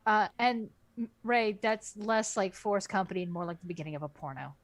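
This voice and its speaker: A woman's voice: medium pitch, lively intonation, a little fast, a little hiss or hum. A woman co-hosting a comedic book club chat, bantering in a dry, sardonic way.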